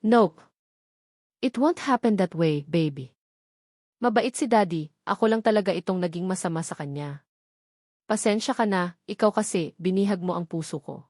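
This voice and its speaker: A woman's voice: on the low side, moderate pace, long pauses, a little mellow, no room sound, studio quality, natural voice. A woman with a Filipino accent voicing an ardent young man wooing his secret lover in a romance audiobook. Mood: reassuring.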